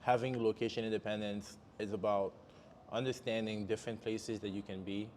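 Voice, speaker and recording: Low-voiced man hosting a podcast, hesitant. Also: steady tone, brisk, darkish tone, a little hiss or hum. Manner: meandering, hesitant with "um" fillers. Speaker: podcast host. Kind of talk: casual interview chat.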